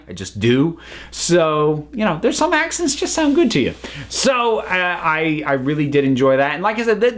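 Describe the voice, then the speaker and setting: A man with a slightly high voice talks as an online reviewer. His pitch moves around a great deal as he chats. The delivery is unscripted and enthusiastic, drifting into asides as he goes. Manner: chatty, enthusiastic, digressive.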